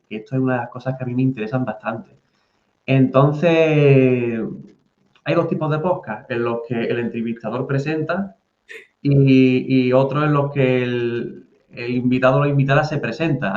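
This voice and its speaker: A man with an Andalusian Spanish accent hosts a relaxed book podcast, talking hesitantly and circling back over his words. He sits at a mid pitch in a roomy space, and the recording is a little dull and lo-fi. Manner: hesitant, many fillers and restarts. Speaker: podcast host. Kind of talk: casual interview.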